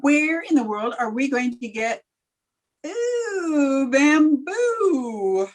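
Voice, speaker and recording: Female schoolteacher, radio comedy actress: wry and quick-witted, highish pitch, very expressive. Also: heavily acted. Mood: wry, brisk. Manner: dry, wisecracking. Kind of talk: old-time radio comedy acting.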